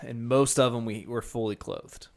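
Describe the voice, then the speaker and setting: A man's voice: mid-range voice, normal speed, vocal fry. A young man co-hosting a podcast, cracking dry jokes and reading out listener messages in casual banter. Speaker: podcast co-host.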